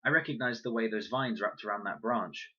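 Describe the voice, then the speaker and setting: A man voicing a sharp, sceptical young girl in a storybook, with a pointed, questioning manner. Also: lowish voice, brisk, highs cut off, voice pushed up. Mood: alert.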